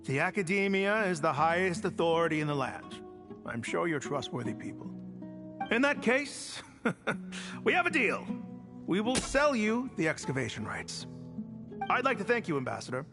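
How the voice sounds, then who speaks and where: Greedy desert warlord, game role: highish male voice, big swings in pitch, blustering and brutal, music beneath. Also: very animated, moderate pace, pauses often. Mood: delighted, hospitable. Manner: blustering, emphatic, theatrical. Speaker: game voice actor. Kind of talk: dramatic video game voice acting. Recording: music under the voice.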